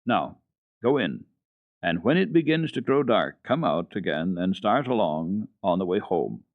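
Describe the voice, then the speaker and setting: A curt old grandfather, voiced by a man in an old radio storybook. He speaks briefly, in a lowish voice. The dry recording sounds dull and lo-fi. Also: very animated, measured pace, no echo, exaggerated voice.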